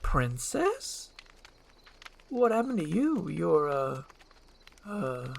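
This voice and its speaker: Woman as talkative cartoon donkey, male: blunt, jumpy, exclamatory, with a very animated pitch range. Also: normal speed, slight background noise, full character voice. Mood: soothing, frank. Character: excitable, chatty male donkey sidekick, easily spooked. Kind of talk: animated film script read aloud.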